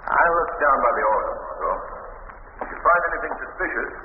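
An actor playing a composed gentleman sleuth with measured, formal delivery in an old radio drama. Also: mid-range voice, big pitch swings, close to an everyday voice. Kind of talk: 1940s radio acting. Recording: tinny, noisy old radio recording.

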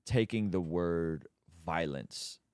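A man's voice: low-pitched, takes it slowly, clean tone, dry recording, clean studio sound. A man from Azerbaijan hosting a podcast, telling stories in casual conversation with lots of restarts. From Azerbaijan, Armenian family. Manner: animated storyteller, many restarts. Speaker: podcast host.

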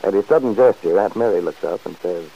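A man narrating a radio serial, reading the story in a measured storyteller's way. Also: low-pitched, a little hiss or hum. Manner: smooth, measured storyteller's delivery. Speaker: announcer and narrator. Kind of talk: scripted narration. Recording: dull, muffled old radio recording.

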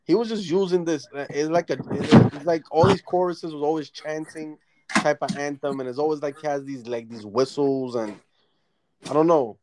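A man in a relaxed, profane casual chat who often trails off mid-thought while making his point. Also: fairly high pitch, moderate pace, noisy background.

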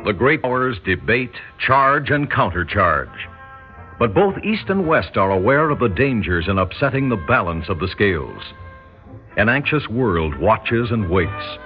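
A man playing a grave, portentous narrator of a world crisis in a classic radio drama. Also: fairly low pitch. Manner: solemn, portentous. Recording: dull old radio recording.

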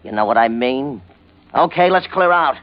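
A man's voice: medium pitch, animated, normal speed, close to an everyday voice. A man playing a smug, cold-hearted young gangster. His voice swings widely as he taunts with false friendliness. It has the dull sound of a 1940s radio crime show. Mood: cold, menacing. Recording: muffled vintage radio recording.

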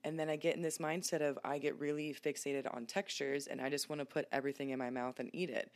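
A woman's voice: sits low, fairly even intonation, quick pace, creaky. A woman hosting a casual podcast, candid and chatty, laughing easily. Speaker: podcast host.

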